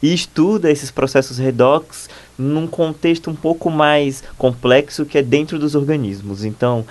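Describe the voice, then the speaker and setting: A man's voice: mid-range voice, darkish tone. A man in his thirties from Bahia, Brazil, a postdoctoral researcher answering interview questions in a fluent, explanatory and enthusiastic way.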